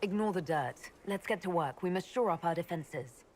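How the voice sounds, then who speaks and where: Actress playing a composed, wry, low-voiced female leader. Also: lively intonation, darkish tone, a little hiss or hum, lightly acted. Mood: earnest. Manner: measured, direct, dryly teasing. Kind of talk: video game character dialogue.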